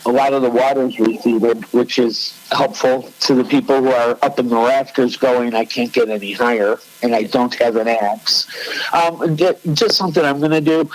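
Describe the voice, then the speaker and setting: A man's voice: medium pitch, rough recording. A man, co-hosting a casual chat, rambling and laughing often. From Chicago. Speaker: co-host.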